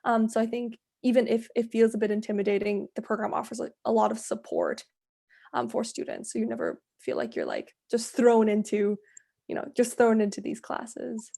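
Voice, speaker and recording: A woman's voice: fairly high pitch, expressive, moderate pace, creaky, mellow. A woman in her twenties answering casually as a student panellist, conversational and peppering her sentences with filler words. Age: 20s. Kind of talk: casual webinar interview answers.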